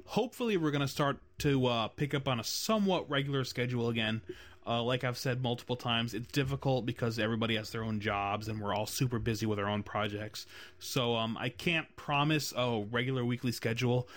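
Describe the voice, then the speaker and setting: A man hosting a laid-back podcast about games. He talks at a middling pitch and wanders through his points with lots of fillers and restarts. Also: fairly bright tone. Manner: rambling, many fillers like "you know" and "um". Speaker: podcast host. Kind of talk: casual podcast chat about game design.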